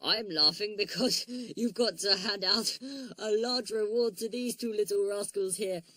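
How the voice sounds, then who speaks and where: A woman's voice: low voice, animated, normal speed, a bit bright, no room sound. A woman voicing a jolly, kindly male brownie in a storybook, with a hearty manner. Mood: roaring amusement. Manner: roaring, hearty.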